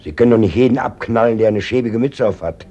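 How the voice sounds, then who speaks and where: A man's voice: on the low side, quick pace, dark tone, highs cut off, close to an everyday voice. An actor playing a grim, plain-spoken fisherman in a radio play, blunt and terse. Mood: indignant. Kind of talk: German radio play acting.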